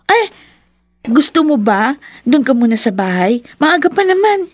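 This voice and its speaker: High-pitched Filipino schoolgirl friend. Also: sing-song, unhurried, no echo. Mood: caring, persuasive. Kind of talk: Filipino radio drama acting.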